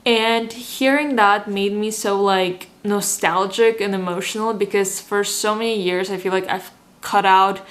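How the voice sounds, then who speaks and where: A woman's voice: mid-range voice, a bit bright. A woman in her twenties from Azerbaijan, whose first language is Russian, talking casually and reflectively in a vlog with many "like" fillers. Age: 20s. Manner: chatty, frequent "like" fillers, reflective. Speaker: vlogger.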